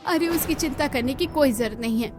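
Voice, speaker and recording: A woman acts out an irritated village wife from a cartoon story. Her voice is very high and brisk, dismissive and sure of her husband, with music behind. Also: a little mellow, lightly acted.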